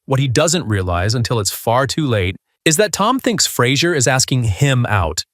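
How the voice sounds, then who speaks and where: A man's voice: mid-range voice, sing-song, moderate pace, fairly bright tone, no echo, studio quality. A man hosting a video countdown, reading a scripted narration.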